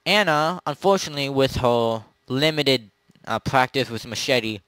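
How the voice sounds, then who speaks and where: Halting male game master, dry close sound. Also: medium pitch, expressive, fairly bright tone, clean tone, no echo. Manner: halting, pieces scenes together as he goes. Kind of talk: improvised narration of a tabletop zombie role-play.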